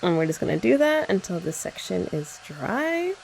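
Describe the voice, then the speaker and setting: A woman's voice: mid-range voice, big pitch swings, normal speed, vocal fry, darkish tone, a little hiss or hum, low-quality recording. A young woman doing a chatty ASMR girlfriend roleplay, explaining each step and teasing her listener. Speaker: ASMR creator.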